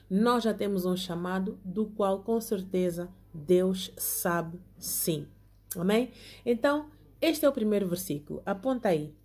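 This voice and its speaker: A woman's voice: a little high, a little mellow. A young woman giving an online Bible lesson, partly reading from notes, earnest and often asking her listeners to say amen. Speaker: Bible class teacher.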